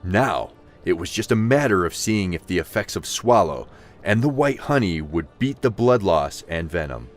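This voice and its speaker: A man's voice: low voice, expressive, moderate pace, faint music behind. A man narrating a scripted fantasy audio drama in a dramatic storytelling style.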